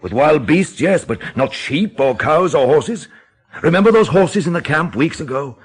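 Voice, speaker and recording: An actor in a radio drama playing a grave, intense man who speaks in an emphatic, deliberate, theatrical way. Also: on the high side, expressive, breathy edge. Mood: insistent. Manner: emphatic, dramatic, deliberate phrasing with rhetorical questions. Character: grave, intense countryside man expounding a dreadful theory.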